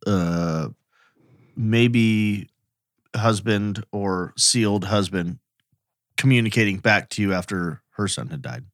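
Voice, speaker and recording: A man's voice: lowish voice, slow, dry recording, clean studio sound. A man co-hosting a podcast, chatting informally, asking questions and thinking aloud in a tentative way. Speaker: podcast co-host. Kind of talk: casual chat, reacting and questioning.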